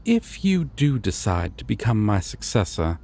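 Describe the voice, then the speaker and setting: A man playing a courteous, solemn god of dreams in a fantasy audio roleplay, with formal, deliberate phrasing. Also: lowish voice, very animated, gravelly, dark tone, full character voice. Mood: calm, explanatory.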